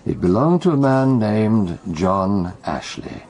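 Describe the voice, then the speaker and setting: An actor playing a patient detective in a radio drama, precise and deliberate, with a dry, gravelly delivery. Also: male voice, lowish voice, sing-song, a little mellow, full character voice. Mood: measured explaining. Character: measured, patient male detective, gently correcting and teasing.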